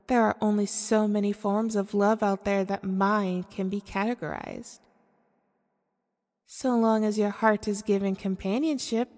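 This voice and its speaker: A woman playing a manipulative, seductive female demon in an ASMR audio roleplay, speaking formally and deliberately in a coaxing way. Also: mid-range voice, darkish tone, close to an everyday voice. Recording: long cavernous reverb.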